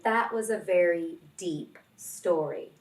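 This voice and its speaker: A woman hosting a children's read-along, reading expressively and addressing young listeners deliberately as friends. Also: on the low side. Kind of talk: expressive picture-book read-aloud and talk to young children. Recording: roomy, distant microphone, music behind.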